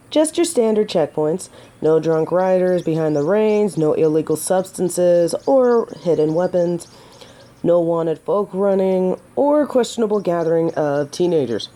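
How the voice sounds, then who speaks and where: A woman's voice: fairly low pitch, mellow. A woman playing a noble, humble lady knight in an audio drama, courteous and protective, with formal, old-fashioned courtly phrasing. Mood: friendly, curious. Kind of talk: scripted roleplay audio drama to the listener.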